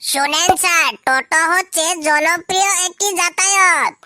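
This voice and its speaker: Very high, cheeky cartoon schoolboy. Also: moderate pace, pushed and bright, no room sound, studio quality, heavily acted. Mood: earnest reciting. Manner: childlike, earnest reciting to his teacher. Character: cheeky young schoolboy pupil, dim but confident. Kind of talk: comedy cartoon dialogue.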